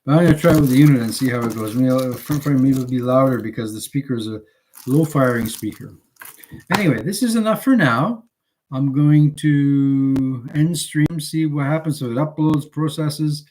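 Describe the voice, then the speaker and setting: A man with a mid-range voice chats casually to a livestream. He talks in a halting, digressive way, thinking aloud as he goes. The delivery is that of an unscripted hobbyist showing off what he bought. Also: medium pitch, darkish tone, a little hiss or hum, poor audio. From Canada. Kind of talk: casual rambling livestream chat.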